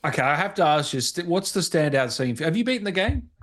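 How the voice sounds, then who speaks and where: Animated higher-voiced man hosting a chat. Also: fast talker. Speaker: interviewer and show host. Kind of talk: casual chat.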